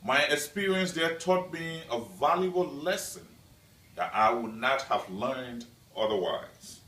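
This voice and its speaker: A man from Liberia giving a prepared class speech as a student, in a slow, measured delivery with deliberate pauses. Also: medium pitch, expressive, sharp tone. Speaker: student presenter. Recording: echoey room, mic at a distance.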